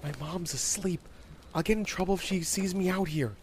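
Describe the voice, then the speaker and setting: A man playing a curious, stubborn, sheltered young girl in a narrated story, with halting, defiant delivery. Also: high voice, slight background noise, voice pushed up, lightly acted. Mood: anxious.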